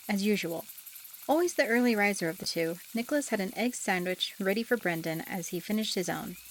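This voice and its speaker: A woman's voice: mid-range voice, very noisy. A woman reading scripted story narration as the narrator of an audiobook drama.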